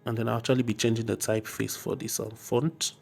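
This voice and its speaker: A man with a slightly hoarse voice from a cold narrates a casual software tutorial, explaining step by step with frequent hesitation. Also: medium pitch, flat delivery, fast. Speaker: graphic design tutorial host. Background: faint music.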